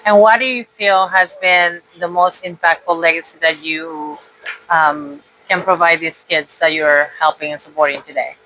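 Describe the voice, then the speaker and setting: A podcast host interviewing a guest at a boxing gym, asking short questions and adding soft "mm-hmm" backchannels. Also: mid-range voice, steady tone, normal speed, slight background noise, poor audio.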